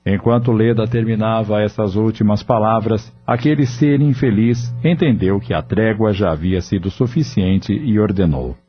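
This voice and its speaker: Solemn male storyteller with a low voice; muffled recording with soft music. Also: normal speed, few pauses, husky. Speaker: narrator. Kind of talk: scripted audio drama narration. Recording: dull lo-fi audio with faint music.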